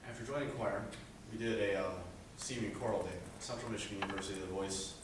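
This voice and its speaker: Low flat-voiced teenage boy, echoey. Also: quick pace. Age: teens. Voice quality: low speaking voice. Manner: earnest, rambling with many "uh" fillers. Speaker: high school student presenting a senior project. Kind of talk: presentation speech. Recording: echoey room, distant mic, background noise.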